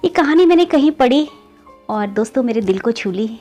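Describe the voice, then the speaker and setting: A woman's voice: lively intonation, fairly quick, mellow, soft background music. A woman narrating a moral story in a conversational way, often addressing listeners as friends and lifting her voice at each new turn of the tale. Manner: keeps calling listeners "friends", pitch rising on story beats.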